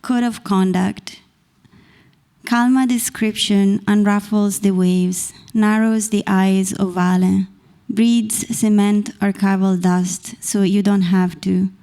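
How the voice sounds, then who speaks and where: A woman in her thirties from Italy with an Italian accent, reading her poetry slowly and deliberately into a stand mic on a small stage. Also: mid-range voice, steady tone, mellow. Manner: measured, deliberate reading from a page. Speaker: poet reading her work. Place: small stage in a dark performance venue. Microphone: stand mic close to the mouth.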